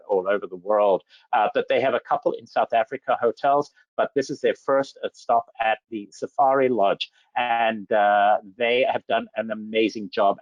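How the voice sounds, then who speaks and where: A man's voice: mid-range voice, dry recording. A man from Zambia giving an enthusiastic slide presentation about safari travel, full of superlatives. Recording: dull lo-fi dry audio.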